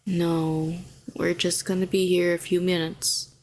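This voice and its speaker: A woman performs a telepathic villainess in an intimate audio drama. She is a fallen hero with a low voice, sardonic on the surface and protective underneath. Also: flat delivery, a little slow, close to an everyday voice, poor audio. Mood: soothing, tender. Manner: sharp and sardonic, turning soothing and coaxing. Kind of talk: intimate scripted audio roleplay.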